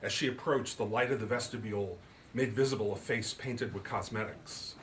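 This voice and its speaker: A man's voice: fairly low pitch, normal speed, a bit bright. A man giving a live reading of his comic mystery novel as storybook narration. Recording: live reading with clear background noise. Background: clear ambient noise.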